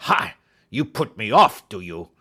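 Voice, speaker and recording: A male audiobook reader plays a hulking old doctor with a furious temper. He blusters and snarls like a bully used to being feared, his pitch swinging widely as he threatens. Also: sing-song, a little slow, pushed and bright, heavily acted. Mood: furious, menacing. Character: huge fierce old doctor, menacing bullying villain. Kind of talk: audiobook character acting.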